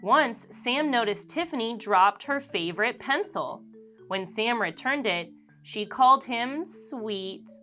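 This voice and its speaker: A woman reads a children's picture book aloud with animated storytelling. Her bright voice moves slowly and deliberately, rising and falling expressively. Gentle marimba music plays faintly underneath. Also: a little high.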